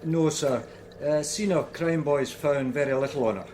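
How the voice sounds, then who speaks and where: An actor playing a weary, deferential Scottish police officer in a radio drama. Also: male voice, a little high, normal speed, natural voice. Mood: deferential, businesslike. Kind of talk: naturalistic radio drama acting. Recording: clearly noisy background.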